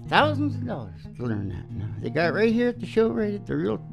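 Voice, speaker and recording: A man's voice: high voice, big pitch swings, fast talker, creaky. A man chatting casually as host of his own music show, rambling, jokey and self-deprecating. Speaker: singer-songwriter and radio show host. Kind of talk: casual rambling chat between country songs.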